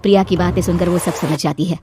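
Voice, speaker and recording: A woman's voice: on the low side, steady tone, fast, very noisy. Woman narrating a suspenseful story. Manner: dramatic, suspenseful telling.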